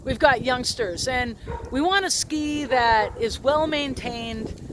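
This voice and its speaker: A middle-aged woman ski instructor giving an opinionated lesson on equipment in a strong, loud, emphatic voice. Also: high voice, takes it slowly, noisy background. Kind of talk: instructional video talk.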